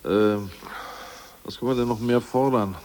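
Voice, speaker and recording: A man's voice: medium pitch, fairly even intonation, normal speed, a little mellow, long reverb tail, natural voice. An actor playing an ousted male Politburo member who grumbles and hesitates, in a 1980s radio play. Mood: hesitant.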